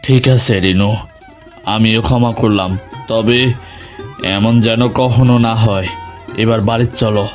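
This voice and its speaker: A man's voice: fairly low pitch, fairly even intonation, measured pace, pauses often, faint music behind, close to an everyday voice. A man with a Bangladeshi Bengali accent voicing a hot-tempered but loyal village husband and elder brother in a melodramatic cartoon. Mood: firm, generous.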